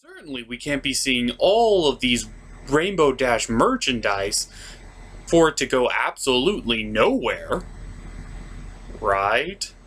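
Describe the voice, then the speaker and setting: Animated, exasperated reviewer with a swooping delivery. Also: mid-range voice, takes it slowly, slight background noise. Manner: exasperated, sarcastic, theatrical emphasis. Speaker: host of a cartoon review show. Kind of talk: comedic review rant with scripted recap.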